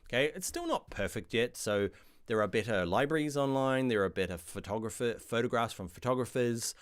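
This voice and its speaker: A middle-aged man with a New Zealand accent, answering viewer questions in a casual live stream about design software. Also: mid-range voice, fairly quick, clean tone. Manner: chatty, reads viewer questions aloud, animated asides. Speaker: online design course instructor.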